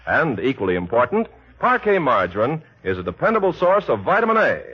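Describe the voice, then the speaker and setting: A man's voice: on the high side, slightly rough. A man working as a 1940s radio announcer, delivering scripted narration and advertising in an earnest, emphatic way. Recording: dull 1940s radio recording.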